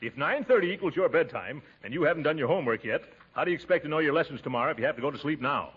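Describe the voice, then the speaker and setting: A man playing a pompous, blustery middle-aged uncle in a 1940s radio comedy, with drawn-out, exclaiming reactions. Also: on the high side, expressive, slightly rough. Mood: indignant. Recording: dull, hissy 1940s radio recording.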